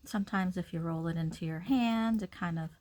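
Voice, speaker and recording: A woman's voice: on the low side, fairly quick, dark tone, no echo. A woman giving a casual step-by-step craft demonstration, informally talking through each action as she works. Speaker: craft tutorial instructor.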